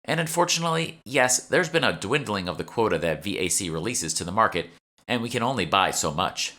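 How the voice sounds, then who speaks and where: A man's voice: lowish voice, expressive, a little fast, sharp tone, voice pushed up. A man reading an audiobook, voicing a chatty, eager young female shopkeeper who is polite and salesy. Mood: businesslike. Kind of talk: audiobook character dialogue.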